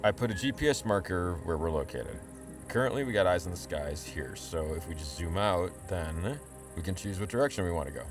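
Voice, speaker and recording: A man's voice: low voice, animated, fairly quick. A man playing a reluctant, nervous young sidekick in an audio drama, hesitant and wryly complaining. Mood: practical, wry. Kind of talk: full-cast audio drama acting. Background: music.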